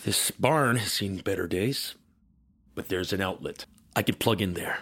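An actor playing a weary, wry veteran soldier in an audio drama, speaking in terse phrases. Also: male voice, sits low, measured pace, background noise. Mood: wary, wry. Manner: terse, muttering to himself.

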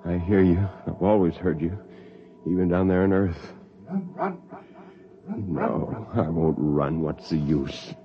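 A man's voice: deep voice, fairly even intonation, slow, long pauses. An actor playing an embittered, fanatical and weary renegade spaceman in an intense 1950s radio drama style. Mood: brooding. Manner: intense, brooding monologue. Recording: dull, hissy 1950s radio recording.

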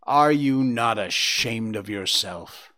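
A man's voice: on the low side, lively intonation, slow, bright, forceful tone, full character voice. A man voicing a wounded, dying male fox in a storybook, imploring and bitterly reproachful. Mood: bitter contempt. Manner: imploring, lamenting, later snarling and hissing.

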